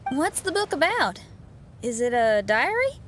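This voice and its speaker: A woman's voice: high voice, big pitch swings, unhurried, a bit bright, slight background noise, full character voice. A woman voicing a playful, teasing young female companion in a video game. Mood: curious. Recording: music behind the voice.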